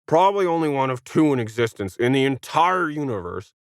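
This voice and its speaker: Man acting a whiny alien, condescending, sarcastic, lively swooping pitch, dry close sound, science fiction story. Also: a little fast, smooth, dry recording. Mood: pleading, insistent. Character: smug whiny tentacled alien, sneering at humans.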